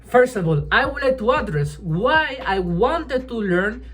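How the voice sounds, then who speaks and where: A woman whose first language is Spanish, chatting casually to camera as a language-learning vlogger, halting and restarting often and tagging sentences with "right?". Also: fairly high pitch, expressive, soft background music.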